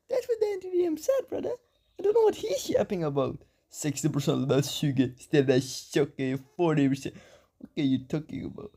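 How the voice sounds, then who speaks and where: Low-voiced woman with mocking banter. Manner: crude slang, mocking, sudden loud outbursts. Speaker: co-reactor. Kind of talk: casual reaction banter.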